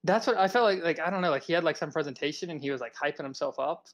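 A young man with a somewhat raised voice tells a story to his friends on a podcast. He rambles, stacking up "like" and "um", and his retelling stays animated throughout. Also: fairly high pitch, racing. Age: young adult. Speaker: podcast co-host. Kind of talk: casual storytelling among friends.

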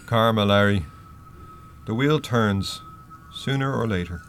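A solemn, low-voiced spirit guide. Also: male voice, a bit bright, soft background music. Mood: grave. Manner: measured, grave, deliberate. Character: solemn, stern male spirit guiding the dead. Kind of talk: radio play acting.

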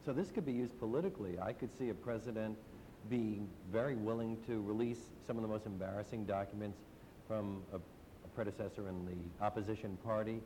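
A man's voice: on the low side, a little fast, warm tone, in a large hall, slight background noise, low-quality recording. Male moderator asking probing questions. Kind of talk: moderating a panel. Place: large hall.

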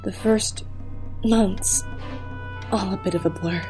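A woman's voice: mid-range voice, fairly even intonation, slow pace, over music, close to an everyday voice, rough recording. An actress playing a haunted, grieving mother in an audio drama, brittle, wistful and insistent. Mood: sorrowful, confused.

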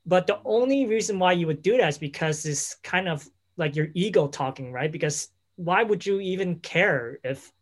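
A man's voice: high voice, lively intonation, moderate pace, hardly any pauses. A man interviewing a guest in casual conversation, rambling through his questions and leaning on fillers like "you know" and "right?".

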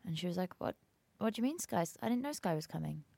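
Chatty young woman, lowish voice. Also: very animated, gravelly, mellow, husky, no room sound. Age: young adult. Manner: chatty, melodramatic, quick storytelling. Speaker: podcast co-host. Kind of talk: casual chat.

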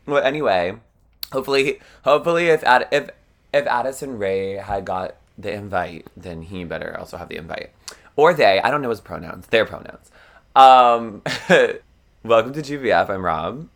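A man's voice: on the low side, expressive, moderate pace, gravelly, fairly bright tone. A young man with a froggy voice, co-hosting a podcast in rambling, self-deprecating casual chat and laughing often. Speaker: podcast co-host.

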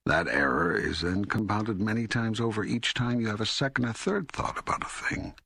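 God, raspy, forceful, deliberate, calm authority, solemn audiobook dialogue. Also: male voice, on the low side, no room sound, studio quality, full character voice. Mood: patient, instructive. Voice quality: husky, raspy. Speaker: audiobook narrator. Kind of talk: scripted audiobook dialogue reading.

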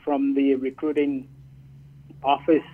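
A man's voice: mid-range voice, little pitch movement, slow. A man from Zambia, a priest and Air Force chaplain, speaking as a guest in a radio interview and often repeating words as he searches for them. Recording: tinny phone line.

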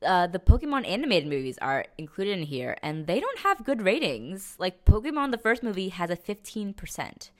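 A young adult woman co-hosting a podcast, giving blunt opinions in casual, informal and somewhat halting chat. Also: mid-range voice, sing-song. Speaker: podcast co-host.

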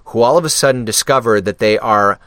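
A man's voice: mid-range voice, fairly quick, a bit bright, no room sound. A man guesting on a podcast, chatting casually about his work, rambling with many fillers and restarts. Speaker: podcast guest, radio DJ and actor. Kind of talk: casual interview chat.

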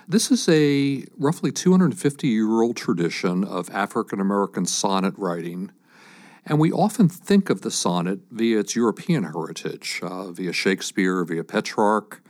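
A man's voice: on the low side, sing-song, normal speed, darkish tone. A man hosting an interview, putting prepared introductions and questions to a guest. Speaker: podcast host interviewing a scholar.

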